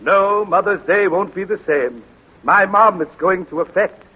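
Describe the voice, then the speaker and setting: A pompous, husky poet. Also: male voice, high-pitched, unhurried. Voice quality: florid, declaiming. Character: pompous male poet of soggy verse. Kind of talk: 1940s radio comedy acting. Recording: muffled old radio recording.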